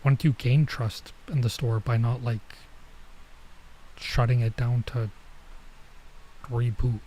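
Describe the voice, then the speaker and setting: A man streaming a visual novel, reading it aloud with casual commentary and thinking out loud as he goes. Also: mid-range voice, steady tone, slow, slight background noise, rough recording. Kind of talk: let's play read-aloud with casual commentary.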